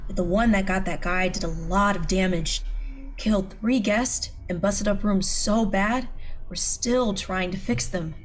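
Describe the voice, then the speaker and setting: A woman's voice: medium pitch, a little slow, lightly acted. An actress playing a jaded, plainspoken woman who part-owns a hotel, casual and wry, in an audio drama. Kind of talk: scripted audio drama acting. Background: music.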